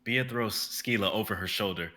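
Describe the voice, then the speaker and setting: A man narrating a live dramatic reading in a steady, plain way. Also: on the low side, moderate pace.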